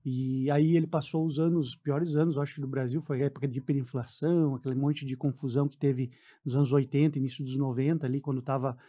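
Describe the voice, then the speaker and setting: A man's voice: medium pitch, flat delivery, fast talker, warm tone. A man in his forties with a southern Brazilian accent, telling his story casually as a guest on a radio interview. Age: 40s. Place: radio studio.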